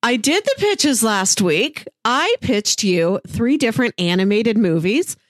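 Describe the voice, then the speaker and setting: A woman co-hosting a podcast, talking in a lively, chatty way. Her pitch moves around a lot, and the recording is dry, with no room sound. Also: unhurried, dry recording. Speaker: podcast co-host. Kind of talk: casual chat.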